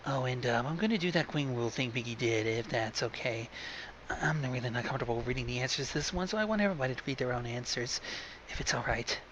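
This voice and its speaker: A man voicing a meek, hesitant teenage girl in a fan fiction reading, timid and quiet. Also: mid-range voice, quick pace, lo-fi sound, a little hiss or hum, lightly acted.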